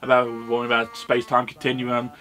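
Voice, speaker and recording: A man's voice: medium pitch, completely flat delivery, fairly quick, pushed and bright, faint music behind. A British man giving an informal, opinionated video review with sardonic, sweary commentary. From Britain. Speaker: host and reviewer.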